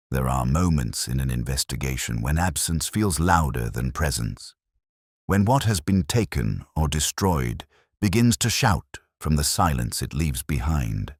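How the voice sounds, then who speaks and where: A man narrating a scripted first-person detective story in a measured, literary, reflective way. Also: a bass voice, no echo, clean studio sound.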